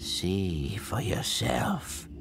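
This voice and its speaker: Game role: weary old male psychic agent, low gravelly voice full of regret, swinging intonation, music behind. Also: wildly swooping pitch, extremely slow, exaggerated voice. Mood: ominous. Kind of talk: video game cutscene acting. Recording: loud music under the voice.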